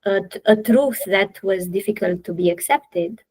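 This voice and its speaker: A woman from Romania with a Romanian accent, hosting a podcast interview and talking slowly and thoughtfully, with many "uh" fillers. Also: medium pitch, darkish tone, breathy edge, low-quality recording. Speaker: podcast host. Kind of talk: casual interview chat.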